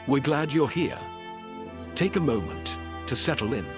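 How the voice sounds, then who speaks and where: A man hosting a storytelling channel, giving a calm, scripted welcome. His pitch moves in a lively way, and music plays clearly behind him. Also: unhurried. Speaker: channel host.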